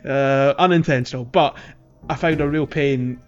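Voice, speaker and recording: A man co-hosting a relaxed gaming podcast, speaking at a middling pitch in a loose, chatty way. He stumbles over his words and fills pauses as he goes. The audio sounds dull and lo-fi, with faint music underneath. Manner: chatty, laughs easily, many fillers and restarts. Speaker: podcast co-host. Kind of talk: casual chat about a video game.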